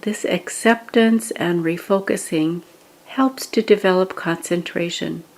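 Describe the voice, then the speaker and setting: A woman leading a guided meditation, giving scripted instructions in a gentle, measured manner with pauses. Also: on the low side, low-quality recording.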